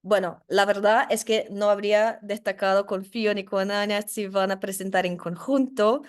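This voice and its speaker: A woman's voice: medium pitch, clear, resonant tone. A woman moderating an online session, introducing the guest speakers by reading their bios. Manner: reads speaker bios formally. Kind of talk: webinar moderation.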